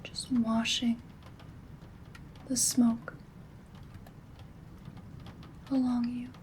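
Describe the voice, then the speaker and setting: A woman performs a kindly witch in a hushed, breathy ASMR whisper. Her delivery is very slow and very even, soothing and affectionate, with formal, tender phrasing. Soft rain ambience runs behind her. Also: a little high. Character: gentle, affectionate female witch and caring friend. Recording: steady background rain ambience noise.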